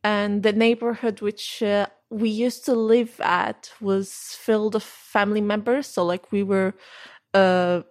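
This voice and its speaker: Young woman from Syria, interview guest, halting speech full of fillers. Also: medium pitch, little pitch movement. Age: young adult. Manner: hesitant, many fillers and restarts. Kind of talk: casual interview answers.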